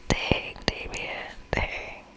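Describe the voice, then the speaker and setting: A woman's voice: extremely slow, fairly bright tone. Whispering woman, playful ASMR game narration. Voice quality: whispered, breathy. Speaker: ASMR creator playing through a visual novel game.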